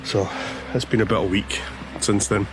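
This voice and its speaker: Hoarse man, outdoor vlog. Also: on the low side, fairly even intonation, noisy background. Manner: chatty, unhurried, many pauses and fillers. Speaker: landscape photographer and vlogger. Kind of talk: casual vlog narration. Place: outdoors on a lakeshore, walking. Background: background music.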